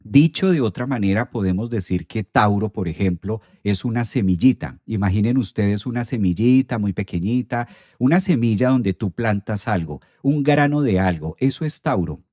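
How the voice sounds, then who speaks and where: A man giving a calm, explanatory astrology talk during a live stream, with an occasional filler "eh". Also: on the low side, moderate pace, no room sound, lo-fi sound.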